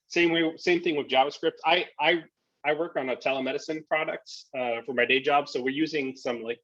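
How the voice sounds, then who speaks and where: A man's voice: medium pitch, fairly bright tone, no echo, highs cut off. A man presenting a casual tech talk, answering questions in a hesitant, thinking-aloud way over a video call. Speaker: presenter and software developer.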